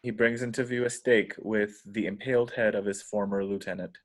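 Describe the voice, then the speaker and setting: A man's voice: lowish voice, fairly even intonation, a little fast. A man narrating screenplay action lines at a table read. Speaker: reader of stage directions.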